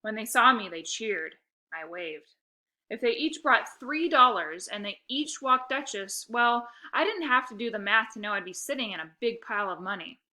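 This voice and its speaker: A woman narrating a children's story aloud with expressive, scripted delivery. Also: on the high side, normal speed.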